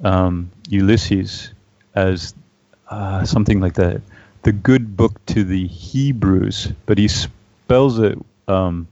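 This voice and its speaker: A man with a very low, mellow voice talks through literary ideas, pausing often with fillers. The sound is dry and muffled, with a lo-fi edge. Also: no room sound. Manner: hesitant, many fillers. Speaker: book club member. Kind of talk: casual discussion.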